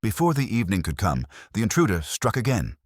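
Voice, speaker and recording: Very deep, husky male story narrator. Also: wildly swooping pitch, a little fast, no echo, studio quality. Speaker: audiobook narrator telling the story as a detective's assistant. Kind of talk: first-person scripted detective story narration.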